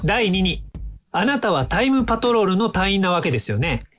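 An actor in a radio drama playing a calm, smug inventor from the future, polite and lecturing in a self-assured way. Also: male voice, high-pitched, fairly quick, soft background music. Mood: lecturing, triumphant.

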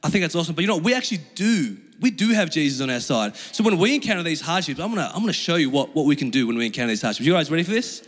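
Fast-talking young Australian preacher in a hall. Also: male voice, on the high side, lively intonation. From Australia, Melbourne. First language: Chinese. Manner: informal, slangy. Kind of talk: conversational sermon with personal storytelling. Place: large reverberant room with an audience. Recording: long hall reverb.

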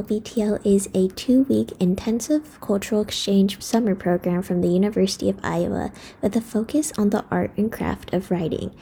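Female podcast host from Oregon, scripted and orderly read, warm and husky. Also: mid-range voice. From Oregon, USA. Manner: reads from a script, even and orderly.

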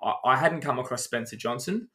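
A man's voice: medium pitch, fairly quick, bright, forceful tone. A man co-hosting a casual podcast, explaining things at length and running through lists of names with frequent ums. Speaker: podcast co-host.

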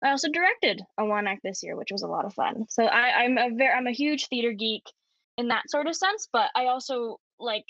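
Young woman guest, squeaky high voice, giggly and rambly, dry muffled audio. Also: animated, brisk, fairly bright tone, no echo. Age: young adult. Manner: giggly, rambling, many fillers. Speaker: podcast guest. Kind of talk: casual podcast chat.

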